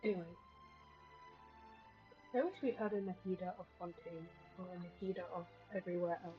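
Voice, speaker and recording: A woman's voice: lowish voice, fairly quick, dull audio, faint music behind. A woman game streamer giving casual live commentary while she plays. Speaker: game livestreamer.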